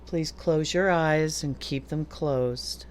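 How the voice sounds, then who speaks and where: A woman teaching yoga nidra, giving calm, deliberate guided meditation instructions with long pauses between phrases. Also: deep voice, slight background noise.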